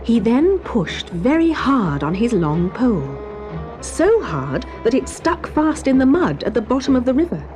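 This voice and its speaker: A woman reading a children's story as narrator, in an expressive, dramatic storytelling manner. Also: fairly high pitch, measured pace, over music.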